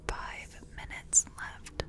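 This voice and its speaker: A woman doing a soft-spoken ASMR roleplay as a makeup artist, whispery and breathy, murmuring hesitantly as if half to herself. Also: drawn-out words, over loud music.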